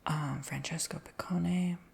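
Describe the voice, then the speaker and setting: Low gravelly whisper, woman rambling thru her stuff, reads names real slow. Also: fairly even intonation. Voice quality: soft whisper with creaky edges. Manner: rambling, casual, fillers like "like"; reads names and titles slowly. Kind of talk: whispered ASMR show-and-tell.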